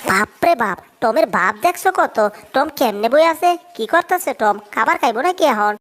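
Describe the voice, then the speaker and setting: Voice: high voice, animated, moderate pace, fairly bright tone. A performer voicing a small, scheming, whiny cartoon mouse in a comedy dub, exclaiming and narrating his plans. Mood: resentful. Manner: whining, exclamatory, self-narrating.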